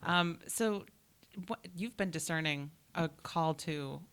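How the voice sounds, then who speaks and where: Low-voiced woman hosting an interview. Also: slow pace, a bit bright, clear, resonant tone. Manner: friendly, encouraging, with many short affirmations. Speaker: newspaper editor, interviewer. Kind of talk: interview host.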